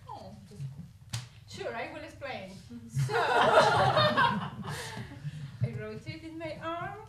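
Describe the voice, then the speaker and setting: High-voiced, slow-talking woman, laughing often. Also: sing-song, very noisy. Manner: laughs often, spells words out. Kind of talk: panel discussion. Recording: echoey room, distant mic.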